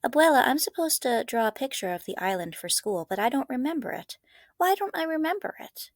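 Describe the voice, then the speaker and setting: A woman's voice: sits high, very animated, a little fast, no echo, clean studio sound, voice pushed up, exaggerated voice. A woman voicing an earnest, curious young schoolgirl in a storybook, eager and questioning.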